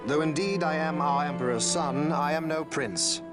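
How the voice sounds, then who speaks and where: An actor playing an eloquent, calculating imperial consul, speaking in a stately, formal, theatrical manner for a video game. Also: male voice, fairly high pitch, loud music behind the voice, close to an everyday voice. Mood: genial, commanding. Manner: stately, eloquent, measured oratory. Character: smooth, regal adult male imperial consul, eloquent and calculating.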